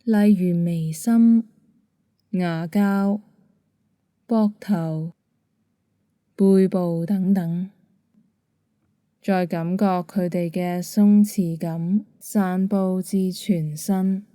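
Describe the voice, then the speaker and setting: A woman with a Hong Kong Cantonese accent, gently guiding a bedtime meditation in a calm, soothing manner. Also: fairly low pitch, drawn-out words, long pauses, dry recording, clean studio sound. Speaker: meditation guide. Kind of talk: guided bedtime meditation narration.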